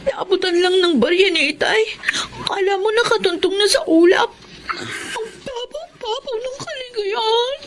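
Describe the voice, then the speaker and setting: A woman's voice: extremely high pitch, unhurried. An actress playing an earnest, long-suffering young woman in an emotional radio drama. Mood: resentful.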